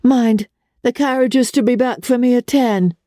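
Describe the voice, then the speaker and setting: A woman's voice: a little high, normal speed, mellow, no echo, studio quality, pitched above the performer's own voice, close to an everyday voice. A woman voicing an imperious, passionate aristocratic lady in a theatrical, exclamatory, commanding way for a storybook reading. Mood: bright, teasing.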